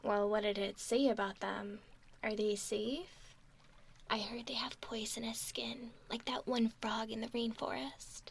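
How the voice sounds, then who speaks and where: A woman's voice: on the high side, steady tone, a little slow, a little hiss or hum. A woman voicing an alluring, mischievous young mermaid in a whispery ASMR roleplay, coy and teasing as she speaks. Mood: wary, curious. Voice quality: breathy, often whispery.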